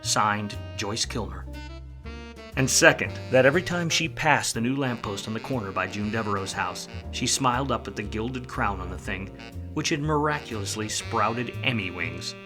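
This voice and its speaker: An actor playing a wry, world-weary hardboiled private detective in a 1940s film noir radio play, full of slang. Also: male voice, on the low side, lively intonation, moderate pace, music in the background, natural voice. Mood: wry, reflective.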